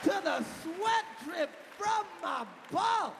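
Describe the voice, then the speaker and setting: Thirties guy yelling stand-up into a mic, real high raspy voice, loud crowd in a big echoey hall. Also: expressive, takes it slowly, background noise. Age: 30s. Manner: loud, shouting punchlines, chanting lyrics. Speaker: stand-up comedian. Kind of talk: stand-up comedy set. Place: large venue on stage.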